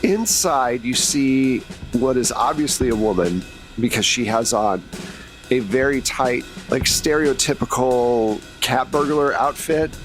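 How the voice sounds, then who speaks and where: A man's voice: mid-range voice, measured pace, soft background music. A man narrating a tabletop role-playing game as host, in an informal, conversational way. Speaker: game master and host of an actual play podcast.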